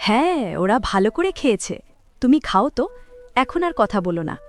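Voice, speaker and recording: A woman voicing a cruel, scheming village stepmother in a cartoon story, scolding and sharp-tongued. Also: fairly high pitch, sing-song, brisk. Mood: placating, evasive. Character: cruel scheming village stepmother favouring her own son. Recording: music behind the voice.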